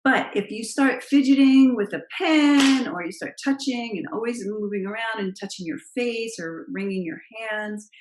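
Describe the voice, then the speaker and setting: A middle-aged woman with a naturally low voice, giving emphatic, expressive advice as a confidence coach straight to camera. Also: normal speed, hardly any pauses. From Italian heritage. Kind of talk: direct-to-camera advice vlog. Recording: roomy, echoey room sound.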